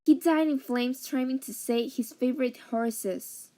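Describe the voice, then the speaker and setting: A respectful, earnest servant, played by a woman in a radio drama, bringing news to the master. The voice is fairly high and very soft-edged, with slightly foreign phrasing. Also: measured pace. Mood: earnest, deferential. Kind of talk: amateur radio drama, scripted acting.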